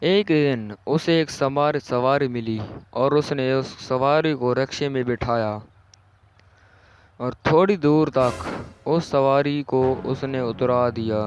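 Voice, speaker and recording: A man narrating a scripted cartoon story as the storyteller. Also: mid-range voice.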